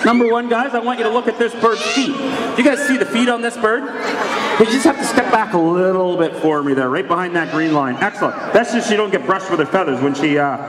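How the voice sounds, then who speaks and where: A man with a fairly high voice runs a bird show for a crowd, upbeat and full of questions for the audience. His voice comes through a PA and rings around a big mall atrium. Crowd chatter and mall noise fill the space behind him. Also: fast talker, echoey room, in a large hall. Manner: upbeat, quizzing the audience, joking. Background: crowd chatter and mall ambience.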